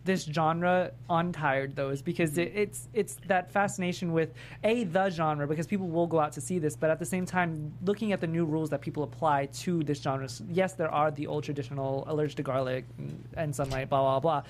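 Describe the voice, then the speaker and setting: Female panelist, deep register. Conversational delivery, slight background noise. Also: fairly even intonation, fairly quick, few pauses, darkish tone. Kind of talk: casual panel discussion.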